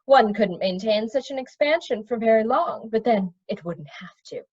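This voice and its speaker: Storybook magician, male, pedantic and long-winded, read by a woman; high, swooping delivery, slightly muffled recording. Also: animated, moderate pace, darkish tone, husky. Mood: pedantic explaining. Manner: pedantic, precise wording.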